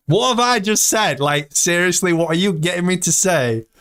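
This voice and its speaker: 30s guy hosting a live stream, jokey, laughs a lot, slow talker, slightly high voice. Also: lively intonation, a bit bright, smooth. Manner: jokey, laughs often, chatty. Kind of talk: casual live-stream chat. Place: hot room indoors.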